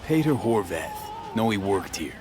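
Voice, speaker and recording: An actor playing a cool investigator in a video game, with a clipped, matter-of-fact way of talking. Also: male voice, lowish voice, big pitch swings, moderate pace. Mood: businesslike. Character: cool, blunt male investigator questioning witnesses. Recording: music behind the voice.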